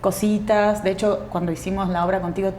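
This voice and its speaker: A woman in her forties with a Uruguayan Spanish accent, a guest actress speaking thoughtfully in an interview, pausing often with fillers and restarts. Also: mid-range voice, flat delivery, a little mellow, reverberant, slight background noise. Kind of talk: reflective interview conversation.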